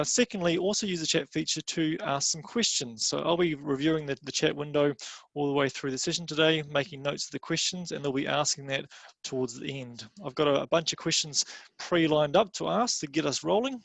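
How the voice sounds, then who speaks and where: Gravelly-voiced man hosting an online panel. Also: on the high side, fairly bright tone, dry recording. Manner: friendly, practical instructions with fillers. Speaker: webinar moderator.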